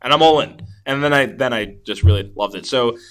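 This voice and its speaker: A man's voice: medium pitch, fairly quick, a bit bright, soft background music. A man hosting a podcast, chatting casually about film in an enthusiastic, rambling way with some swearing. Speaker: podcast host. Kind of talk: casual film review chat.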